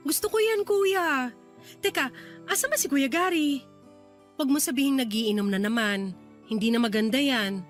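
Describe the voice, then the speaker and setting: Woman acting young younger sister, Filipino accent, high voice, sweet, playful, radio drama, music underneath. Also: normal speed, pauses often, natural voice. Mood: curious.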